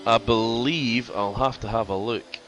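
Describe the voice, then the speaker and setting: A man's voice: mid-range voice, steady tone, unhurried, music in the background. A man doing casual sim racing commentary, congested from a cold, chatty and prone to repeating himself.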